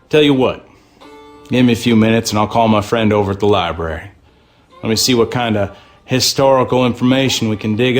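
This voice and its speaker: An actor playing a crusty small-town checkers player in a video game, with a Southern American accent and a folksy, grumbling drawl. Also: male voice, on the low side, close to an everyday voice. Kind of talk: game character dialogue.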